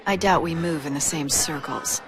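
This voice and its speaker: Low, cool, haughty femme fatale. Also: female voice, normal speed, a crowd in the background. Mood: teasing disdain. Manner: teasing, dismissive. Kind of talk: video game voice acting.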